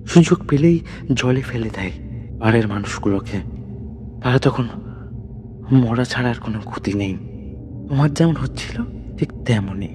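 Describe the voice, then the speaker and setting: A man voicing a kindly, sorrowful elderly village widow who speaks of hauntings in a hushed, grave way. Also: mid-range voice, lively intonation, moderate pace, pauses often, close to an everyday voice. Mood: grave, eerie. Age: older. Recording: long reverb, noisy background.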